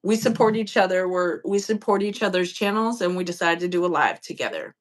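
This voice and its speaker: A woman from upstate New York co-hosting a livestream, chatting informally with viewers in relaxed, slangy everyday talk. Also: on the low side, fairly quick. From upstate New York, United States. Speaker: co-host of a livestream. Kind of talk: casual livestream chat with viewers. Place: remote joint livestream, speakers in different states.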